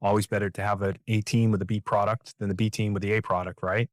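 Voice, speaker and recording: Man, fairly low voice, dry sound, podcast host, affirming, long setup questions. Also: little pitch movement, fast talker, no room sound. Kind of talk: fireside chat interview.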